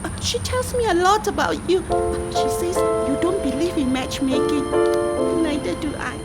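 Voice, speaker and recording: Woman as an eager, high-voiced neighbour girl. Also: animated, moderate pace, over loud music. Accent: Singaporean. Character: eager, chatty unmarried young female neighbour, hinting at romance. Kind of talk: amateur radio play acting.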